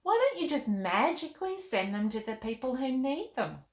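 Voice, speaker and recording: A woman reading the part of an earnest, questioning nine-year-old girl in a children's storybook, with an expressive manner. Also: high voice, normal speed, voice pushed up. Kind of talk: storybook read-aloud. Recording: roomy, echoing indoor sound.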